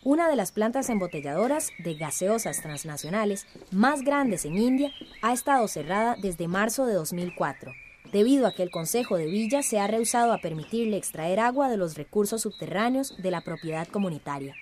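A woman's voice: medium pitch, animated, fairly quick, a little mellow, slight background noise, close to an everyday voice. A woman playing an earnest, organized village activist in a radio drama, speaking emphatic Central American Spanish. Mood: earnest, urgent.

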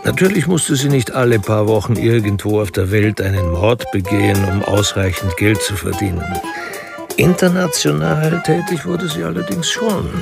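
An actor as a sober, wry narrator of a crime radio drama, speaking in measured scripted prose. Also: male voice, medium pitch, vocal fry. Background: music.

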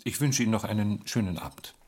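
An actor playing a proud, aloof government gentleman in a radio drama, speaking formally and precisely. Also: fairly low pitch, animated, quick pace, breathy edge. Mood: guarded, aloof.

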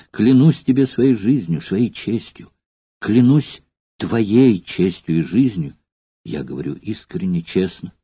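An anguished gladiator pleads with his beloved in a low, husky, trembling voice that is close to tears, as a male reader acts him out. The sound is dull and dry, with little room around it. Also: no echo.

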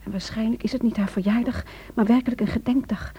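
An actress playing a worried, caring wife in a Dutch radio drama, soft-spoken and hesitant. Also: female voice, fairly high pitch, fairly even intonation, quick pace, breathy edge. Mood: hushed, confiding.

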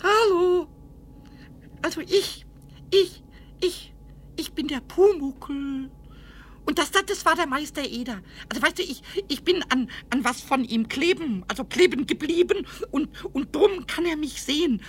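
A man playing a cheeky, excitable little kobold in a children's radio play, squeaky and creaky, stammering over repeated words and fond of rhyme. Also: unhurried, full character voice. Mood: excited, boastful. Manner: stammering repetitions, rhyming, excitable. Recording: faintly noisy 1970s radio recording, rumble.

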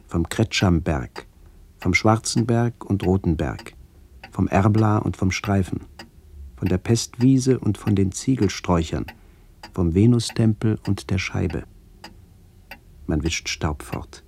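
A man acting in a radio play as a brooding narrator haunted by memories of his lost village, speaking in reflective, halting phrases. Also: deep voice, mellow, slight background noise.

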